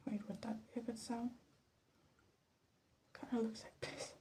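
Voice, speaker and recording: A woman giving casual ASMR commentary in a soft, breathy half-whisper, musing to herself without hurry. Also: on the high side, little pitch movement, a little slow. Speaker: vlog host. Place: her bedroom. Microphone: phone. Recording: faint background noise, phone microphone.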